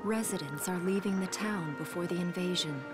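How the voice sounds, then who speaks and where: Low-ish female game narrator over loud music. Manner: formal, measured. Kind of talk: scripted dramatic game narration. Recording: loud orchestral music behind.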